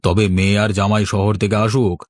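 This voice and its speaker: A man plays a kindly older village gentleman in a cartoon. His voice is fairly low and his manner genial. The recording is dry. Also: level tone, brisk, no echo, lightly acted. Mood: genial, content. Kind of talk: cartoon voice acting.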